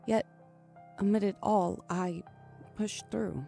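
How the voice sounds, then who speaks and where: A woman's voice: medium pitch, steady tone, takes it slowly, vocal fry, mellow. An actress playing a frightened but defiant trapped woman in a first-person thriller audio drama, with dramatic, suspenseful delivery. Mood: weary, reflective. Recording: music under the voice.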